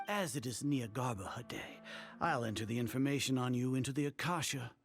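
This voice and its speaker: An actor playing an arrogant older grand sage in a video game, speaking in a pompous, formal and condescending way. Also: male voice, mid-range voice, brisk, gravelly, soft background music, natural voice. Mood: smug, cold.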